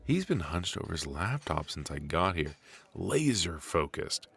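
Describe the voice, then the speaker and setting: A man acting a friendly, chatty extrovert at a coffee shop mixer in an ASMR audio roleplay, conversational and reassuring, pausing for an unheard listener. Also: low voice, sing-song, gravelly, a bit bright, slightly rough, heavily acted. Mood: quietly musing. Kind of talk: ASMR roleplay, casual one-sided conversation.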